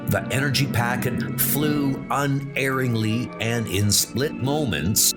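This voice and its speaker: A man's voice: mid-range voice, slow pace. A man giving dramatic, suspenseful narration for a comic book. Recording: music playing underneath.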